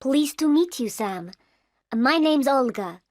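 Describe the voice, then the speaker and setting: High-voiced woman in slow lesson dialogue. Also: animated, no room sound, clean studio sound. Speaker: voice actor in learner dialogues. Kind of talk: scripted learner dialogue.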